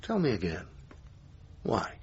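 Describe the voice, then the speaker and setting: A man's voice: lowish voice, wildly swooping pitch, a little slow, slightly rough, full character voice. A man acting a needling, sceptical theatre manager and lover in a naturalistic radio drama, with a dry, probing manner. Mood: sardonic, challenging. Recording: dull lo-fi radio recording, faint noise.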